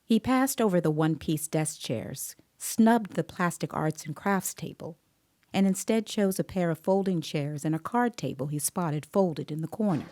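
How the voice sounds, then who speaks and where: A woman playing a composed, observant child interviewer, narrating an audio drama in a measured, deliberate way. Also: on the low side, darkish tone, lightly acted. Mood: reflective. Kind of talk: scripted dramatic first-person narration. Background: musical scoring.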